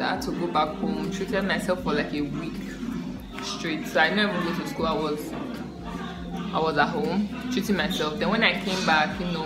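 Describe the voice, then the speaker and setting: A young woman talks straight into her laptop camera with a lowish voice, rambling and repeating herself in an easy, relaxed way. The small room rings with echo, and music plays clearly underneath. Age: young adult. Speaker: student vlogger. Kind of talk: casual to-camera life update. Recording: echoey room with music behind.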